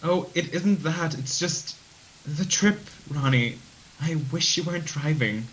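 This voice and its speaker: High-voiced worried father, played by an actor. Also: measured pace, fairly bright tone, slight background noise, poor audio. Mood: worried, tender. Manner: pleading, affectionate. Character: worried, tender father seeing off his grown child. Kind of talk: radio play dialogue.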